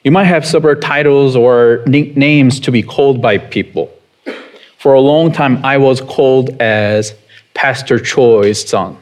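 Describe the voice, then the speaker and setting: A man in his thirties with a Korean accent, preaching a sermon in a chatty way and putting questions to the congregation. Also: medium pitch. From South Korea. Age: 30s. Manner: unhurried and conversational, chuckling often, asking the congregation questions. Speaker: pastor.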